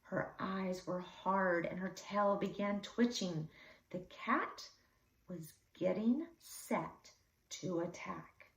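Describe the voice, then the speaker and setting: A woman reading a children's story aloud expressively, with deliberate, dramatic emphasis for young listeners. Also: fairly low pitch. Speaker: read-aloud storyteller for schoolchildren. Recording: echoey room, slightly distant mic.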